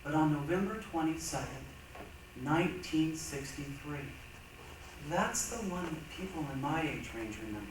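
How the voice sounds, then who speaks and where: A man's voice: fairly high pitch, animated, moderate pace, close to an everyday voice. A man performing a reader's theatre monologue as a reflective, earnest man recounting his memories. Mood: declaiming, reflective. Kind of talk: staged dramatic monologue reading. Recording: very echoey, noisy hall, distant mic.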